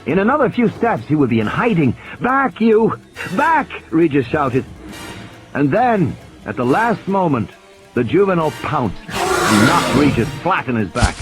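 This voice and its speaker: A man's voice: on the high side, sing-song, moderate pace. A man reading dramatic scripted narration for an audiobook, with music behind him.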